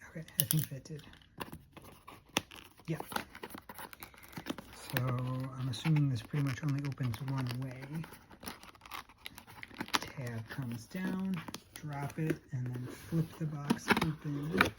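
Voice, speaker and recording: A hoarse-voiced vlogger talking casually and a bit hesitantly through a product unboxing. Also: mid-range voice, slow, long pauses, heavy background noise. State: hoarse, losing voice. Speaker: unboxing video host.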